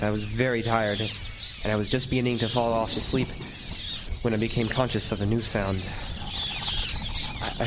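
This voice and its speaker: A man acting a haunted lighthouse keeper, narrating his horrifying ordeal in an intense, halting way in an old-time radio drama. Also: mid-range voice, noisy background, lightly acted. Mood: tense. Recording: live stage with loud animal sound effects.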